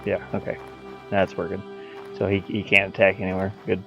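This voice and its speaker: A man thinking aloud in a halting mutter as he gives casual commentary on his hobby game development. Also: low voice, completely flat delivery, gravelly, a bit bright. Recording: dull lo-fi audio, faint music. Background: faint music.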